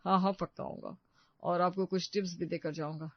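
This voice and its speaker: An actor voicing a modest, polite male celebrity vlogger in an animated moral story. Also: medium pitch, brisk, no echo, muffled recording, natural voice.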